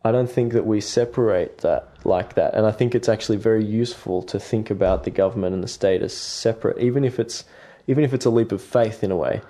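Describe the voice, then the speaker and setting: An Australian man speaking in a low, mellow voice. He thinks aloud in a relaxed podcast chat, pausing often on ums and restarting his sentences. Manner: hesitant, many fillers and restarts. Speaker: guest artist. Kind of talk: reflective podcast conversation.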